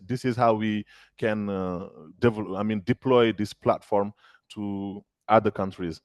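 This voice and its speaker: Guy on a webinar call, hesitant, lots of uhs, dry low voice. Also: a little mellow, dry recording. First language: French. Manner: hesitant, many fillers and restarts. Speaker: agritech founder answering questions. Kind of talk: webinar Q&A answer. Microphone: video call.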